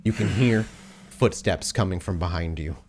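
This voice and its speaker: Deep-voiced man narrating with slow drama. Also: noisy background. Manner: measured, descriptive, dramatic storytelling. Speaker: game master, storyteller of an actual play podcast. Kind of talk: improvised cinematic narration for a tabletop roleplaying game.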